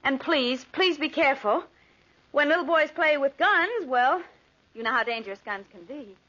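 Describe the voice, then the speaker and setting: An actress playing a doting, chirpy wife in 1940s radio drama style, on a muffled old recording. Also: female voice, high-pitched, expressive, moderate pace, a bit bright. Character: doting, chirpy young wife fussing over her husband.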